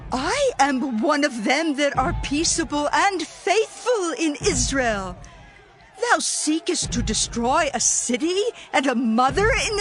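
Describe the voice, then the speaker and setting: High-voiced, solemn wise woman. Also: heavily acted. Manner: solemn, declamatory. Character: wise woman pleading boldly for her besieged city. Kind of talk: dramatized scripture acting. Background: music.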